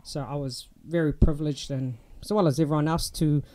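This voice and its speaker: Young kiwi guy telling his story in an interview, mellow warm tone, fairly high voice, lots of ums. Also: fairly quick. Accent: New Zealand. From New Zealand. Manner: relaxed, chatty, many ums and restarts. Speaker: guest. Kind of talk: casual interview chat.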